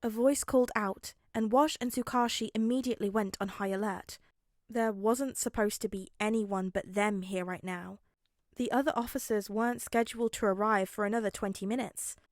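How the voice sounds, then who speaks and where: A woman's voice: medium pitch, steady tone, normal speed, dry recording, clean studio sound. A woman narrating a scripted story as a podfic reader.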